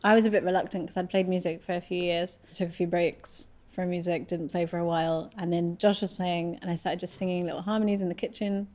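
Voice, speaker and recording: A woman's voice: fairly low pitch, warm tone. A woman, a folk musician, chatting casually in an interview with a self-deprecating manner. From England. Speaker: folk musician, harmony singer and banjo player.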